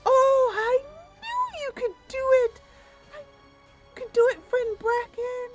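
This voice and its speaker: A woman voicing a tiny, playful, petulant female river nixie with a squeaky, girlish voice and a coy, exclamatory manner. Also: big pitch swings, takes it slowly, over music, full character voice. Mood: delighted.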